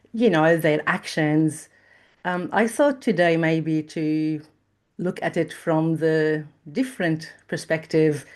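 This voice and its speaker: A middle-aged woman from Lebanon with a low voice speaks slowly. She weighs each thought, pausing often with small fillers as she recounts her past in a reflective interview. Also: darkish tone. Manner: measured and thoughtful, with frequent pauses and fillers. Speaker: trauma therapist guest.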